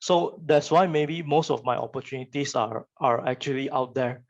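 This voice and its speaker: A young man with a Malaysian accent and Chinese as his first language gives an informal talk to students as a guest speaker, in a halting, colloquial way that often repeats phrases. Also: mid-range voice. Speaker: guest speaker, photographer and digital artist. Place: online virtual session. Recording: muffled, lo-fi online call audio.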